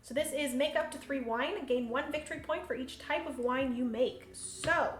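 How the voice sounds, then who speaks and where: A woman's voice: high-pitched, moderate pace. A woman hosting a solo board game livestream, chatty, thinking aloud and laughing often. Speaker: host. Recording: roomy room echo, faint hum.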